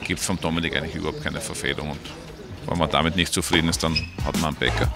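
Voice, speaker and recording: A man's voice: very deep voice, steady tone, rapid-fire, over loud music. A man with an Austrian accent, a coach giving matter-of-fact, emphatic answers in an interview. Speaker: tennis coach.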